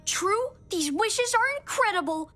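A man's voice: very high voice, lively intonation, unhurried, bright, forceful tone, exaggerated voice. An actor voicing a nerdy young boy who makes potions and talks science, wordy and a bit nervous. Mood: earnest. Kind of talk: cartoon voice acting. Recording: music behind.

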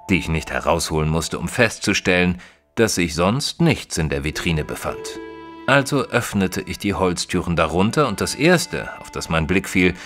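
An actor playing an earnest detective's companion, narrating an audio drama in a measured, literary way. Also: male voice, deep voice, fairly bright tone.

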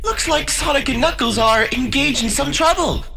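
An actor voicing a squeaky robot henchman in a cartoon comic dub. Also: normal speed, gravelly, sharp tone, soft background music, full character voice. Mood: eager reporting. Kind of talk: animated comic dub acting.

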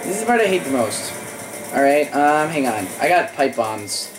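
A host playing a horror game, scared and swearing, with a voice that is wearing out from yelling. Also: mid-range voice, sing-song, normal speed, over music, rough recording. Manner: frightened, swearing, bursts of yelling at enemies. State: voice giving out. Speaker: gaming channel host. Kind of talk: live let's-play commentary. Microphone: gaming mic.

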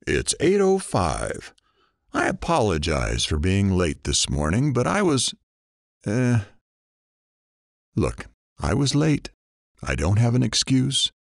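A man acts out a weary morning radio host in an audio drama. His voice is deep and swings expressively, with dry sarcasm and self-mockery, recorded close and dry. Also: wildly swooping pitch, slow, creaky, long pauses, no echo, full character voice. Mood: apologetic, baffled. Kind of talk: scripted audio drama broadcast.

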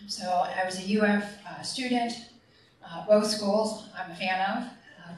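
A woman's voice: medium pitch, slight background noise. A woman who directs clinical education, speaking in a friendly, conversational way at a public board meeting. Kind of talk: public remarks. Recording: echoey meeting room from far off.

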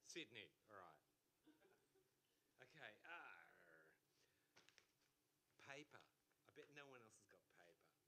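A man giving a wry, deadpan intro before reading a poem on a small venue stage. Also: lowish voice, slow pace, long pauses. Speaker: open mic poet. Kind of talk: dry self-deprecating intro then poetry reading. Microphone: stand mic. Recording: live room with crowd noise.

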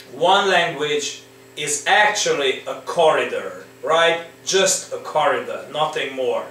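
A man teaches an English exam lesson from his living room, slowly coaxing his students along. His voice sits a little high and he keeps checking in with them. The sound rings through an echoey room, picked up from a distance with faint background noise. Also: a bit bright. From Romania. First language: Hungarian. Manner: slow, coaxing, repeats with rhetorical "right?". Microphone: laptop or camera in front.

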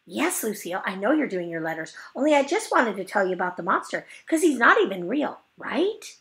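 Lady doing a shrill bossy little kindergarten girl, super dramatic, voice jumps all over. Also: sing-song, fairly quick, gravelly, a bit bright. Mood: pleading, insistent. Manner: high, shrill, insistent child voice.

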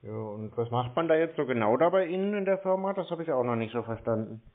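A man's voice: medium pitch, lively intonation, fast talker. A man chatting casually on the phone, asking questions in a naive, puzzled way. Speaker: prank caller baiting phone scammers. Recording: tinny, narrow phone line.

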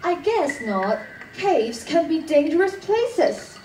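A woman's voice: extremely high pitch, very animated, takes it slowly, a little mellow, heavily acted. A teenage girl acting a curious, matter-of-fact schoolgirl explorer in a radio drama. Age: teens. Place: stage of a studio theatre. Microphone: far camera. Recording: echoey theatre, distant camera, faint noise.

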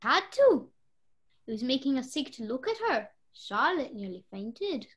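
A woman's voice: high voice, sing-song, measured pace. A young woman playing a polite, flustered hostess in a radio mystery play. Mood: apologetic. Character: polite, flustered hostess who hired the detectives.

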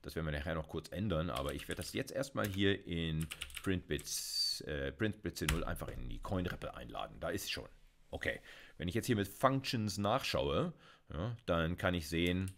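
A middle-aged man lecturing in a relaxed, chatty way, with many fillers and self-corrections, recorded on a close mic in a small room with keyboard typing behind him. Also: low voice. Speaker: university lecturer. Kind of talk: casual recorded video lecture with live coding.

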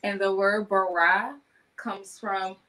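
A woman's voice: mid-range voice, fairly even intonation, takes it slowly, a crowd in the background, rough recording. Woman chatting casually on a video call. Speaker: guest, wellness business owner. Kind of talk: casual chat with self-promotion. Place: indoor room on a video call.

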